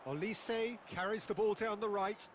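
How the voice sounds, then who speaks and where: A man giving measured play-by-play football commentary that lifts sharply on chances. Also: high voice, steady tone, noisy background. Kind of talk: scripted match commentary.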